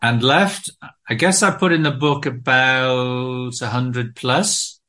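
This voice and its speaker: British man, bright, hesitant interview talk. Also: mid-range voice, steady tone, slow. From Britain. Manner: hesitant, many ums and restarts. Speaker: guest author.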